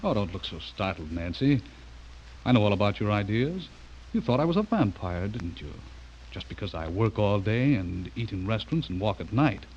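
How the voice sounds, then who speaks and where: An actor playing a smooth, menacing man who is secretly a werewolf, in old-time radio horror style, with suave, falsely courteous delivery. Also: lowish voice, animated, normal speed, darkish tone, lightly acted. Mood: smooth, reassuring. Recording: muffled old radio recording, faint noise.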